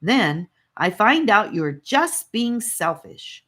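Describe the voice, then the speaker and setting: A woman voicing a playful, sulky, excitable young boy in an audiobook, speaking bluntly with some whine. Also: mid-range voice, takes it slowly, pitched above the performer's own voice, heavily acted. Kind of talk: audiobook character voice.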